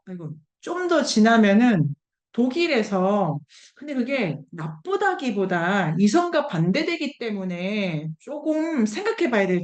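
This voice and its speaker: A woman's voice: mid-range voice, darkish tone. A woman giving a conversational humanities lecture, acting out quoted remarks as she explains.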